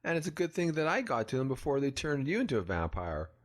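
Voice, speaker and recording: A man voicing a proud, dramatic vampire killer with a gravelly voice in a comic-book cartoon. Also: medium pitch, sing-song, quick pace. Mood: boastful. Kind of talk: motion comic voice acting. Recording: music behind the voice.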